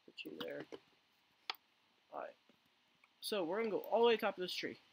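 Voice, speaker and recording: A man hosting his own gaming videos, his voice hoarse and worn down as he talks through a game. He rambles in a casual way, full of ums and restarts, with a gravelly fry at the bottom of his voice. A faint hiss and mouse clicks sit behind him. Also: high-pitched, huge pitch swings, moderate pace, poor audio. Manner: rambling with many fillers and restarts. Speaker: gaming channel host. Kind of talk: casual let's play commentary.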